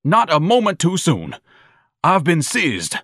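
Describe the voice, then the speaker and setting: A man's voice: a little high, wildly swooping pitch, slow, fairly bright tone, no room sound, clean studio sound, voice pushed up, full character voice. Man doing a pompous, haughty overgrown mama's boy. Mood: loud, aggrieved. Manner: pompous, condescending, florid. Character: pompous, huge thirty-year-old mama's boy, haughty.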